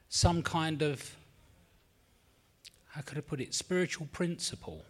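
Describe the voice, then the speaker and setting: A man's voice: fairly high pitch, breathy edge. A middle-aged man from London with a London accent, sharing his story at a recovery meeting in a rambling, candid way, swearing freely and admitting he is nervous. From England. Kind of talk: personal share at a support meeting. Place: meeting room.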